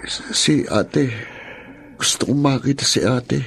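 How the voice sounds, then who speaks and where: Creaky, frail Filipino son. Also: male voice, mid-range voice, slow pace, full character voice. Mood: weak, apologetic. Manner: weak, subdued. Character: frail, apologetic sick young son. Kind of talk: Filipino radio drama acting.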